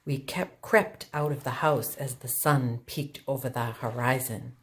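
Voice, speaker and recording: Slow, low-voiced woman reading a storybook. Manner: deliberate, expressive phrasing for young children. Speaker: children's storytime reader and teacher. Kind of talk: read-aloud of a children's picture book.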